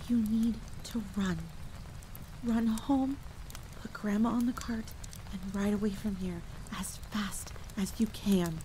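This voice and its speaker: A woman's voice: medium pitch, normal speed, pitched above the performer's own voice, lightly acted. Woman as urgent, frightened, protective mother in rain. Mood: urgent whisper. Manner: hushed, breathy urgency breaking into cries. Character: frightened protective mother urging her child to flee. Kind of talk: acted dialogue in a horror audio roleplay. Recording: clearly noisy, steady rain ambience.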